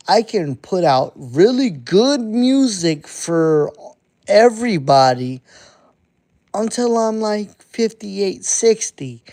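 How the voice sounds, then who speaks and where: A man's voice: fairly high pitch, creaky, a little mellow. A man in his thirties, an underground rapper, talking bluntly and profanely to his live-stream chat, repeating himself as he goes. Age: 30s. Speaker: underground hip-hop artist streaming to chat. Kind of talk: casual live-stream monologue.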